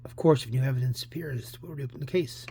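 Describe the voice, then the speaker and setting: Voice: mid-range voice, fast talker, gravelly, dark tone, breathy edge. A reader voicing a formal police inspector in a comic book story, polite and official. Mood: resigned, official.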